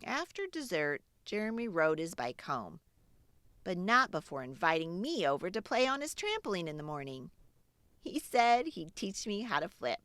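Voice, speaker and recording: A woman reading a storybook aloud to children in an expressive, animated way with dramatic pauses. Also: on the low side, dry recording.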